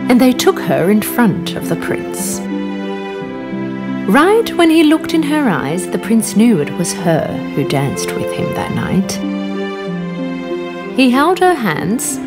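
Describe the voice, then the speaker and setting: A woman narrates a children's fairy tale in a slow, careful storybook reading. Her high voice swoops widely up and down. Soft music plays under her. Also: wildly swooping pitch. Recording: narration over background music.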